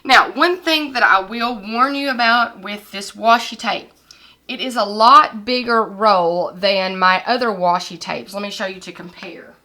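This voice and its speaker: A young woman talks through a planner haul in a bright, forward voice. Her voice is hoarse and scratchy after being sick. She chats casually and holds items up to the camera. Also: medium pitch, unhurried. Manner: chatty, shows items to camera. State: hoarse, recovering from lost voice. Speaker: planner vlogger. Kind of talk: casual product haul vlog. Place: indoors at a desk with lighting kit.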